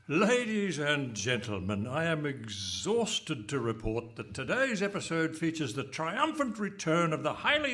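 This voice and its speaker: A man's voice: medium pitch, very noisy. A man playing a pompous, fussy announcer in an absurd radio comedy, delivering scripted introductions with theatrical formality. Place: hall stage, live performance.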